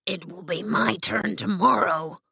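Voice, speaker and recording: A woman's voice: mid-range voice, measured pace, creaky, pushed and bright, husky, dry recording, clean studio sound, voice pushed up, heavily acted. A woman voicing a cowardly male lion in a storybook, fearful and plaintive as he longs for courage. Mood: nervous bravado.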